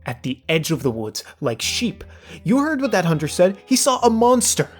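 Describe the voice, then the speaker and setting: A man voices a nervous young Viking in an audio story. He mutters with superstitious fear in a slightly husky voice. Faint music sits underneath. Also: high voice, sing-song, moderate pace. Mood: fearful. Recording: music behind the voice.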